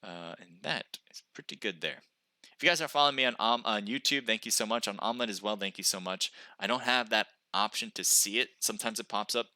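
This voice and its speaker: Male stream caster, punchy play-by-play, sharp bright voice, dead dry sound. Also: lowish voice, a little fast, no echo. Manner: excited calls during action, chatty when reading chat. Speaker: esports caster and stream host. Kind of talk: live play-by-play commentary.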